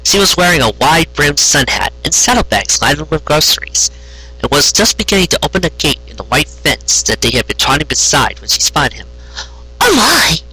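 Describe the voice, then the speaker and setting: A man's voice: a little high, lively intonation, brisk, sharp tone, slightly rough, low-quality recording. A man narrating a scripted fan fiction story, occasionally stumbling over his words. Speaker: amateur story reader.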